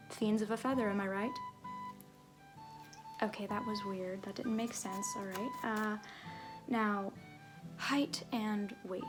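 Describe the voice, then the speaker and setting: Woman playing a slow-talking, flirty circus fool. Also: medium pitch, natural voice. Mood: businesslike, awkward. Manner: flirty, awkward, halting, theatrical. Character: flirty, bumbling tiefling circus fool, self-deprecating showoff. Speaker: ASMR roleplay performer. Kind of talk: ASMR character roleplay, addressing the listener.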